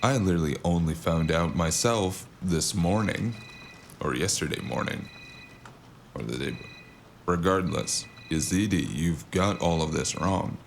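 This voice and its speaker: A man playing a sardonic, world-weary cyberpunk investigator in an audio drama, narrating in first person with a gravelly, wry delivery. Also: sits low, measured pace, slight background noise. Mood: defensive, sarcastic. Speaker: narrator and lead character.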